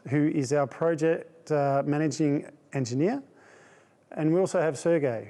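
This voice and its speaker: A man's voice: on the high side, warm tone. A man with an Australian accent presenting as a webinar host, conversational, informal and self-effacing. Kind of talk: conversational presenting to an online audience.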